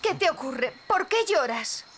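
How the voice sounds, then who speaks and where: A sweet, earnest little girl in a cartoon. Her childlike voice is very high and expressive, with music behind. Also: measured pace. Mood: worried. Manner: childlike, exclaiming. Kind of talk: animated cartoon dub.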